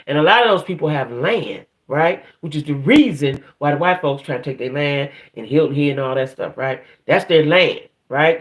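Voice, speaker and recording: A woman from the northern United States hosting a casual live stream, chatting playfully and colloquially in a rambling way, often tagging her thoughts with a quick check-in. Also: deep voice. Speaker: live stream gossip show host.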